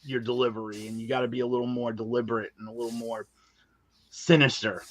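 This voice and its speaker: A man's voice: mid-range voice, flat delivery, fast, a bit bright, no echo, poor audio. Man with a dry, close sound, chatting candidly. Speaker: guest, audio drama writer and filmmaker. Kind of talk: casual podcast interview.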